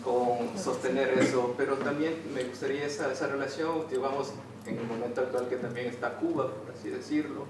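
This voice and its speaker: A man's voice: mid-range voice, fairly even intonation, fairly quick. Distant, very echoey man asking hesitantly. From Ecuador. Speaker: audience member asking a question. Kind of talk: spontaneous question at a public talk. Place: indoor theater venue during a public discussion. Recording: very echoey room, distant mic, clear noise.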